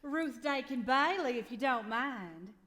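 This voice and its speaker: A woman acts out a pert, witty young bride in old radio-play style. Her high voice is animated and rings through a hall. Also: a little slow. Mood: playful. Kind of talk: 1940s radio play acting. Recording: echoey hall, live stage taping.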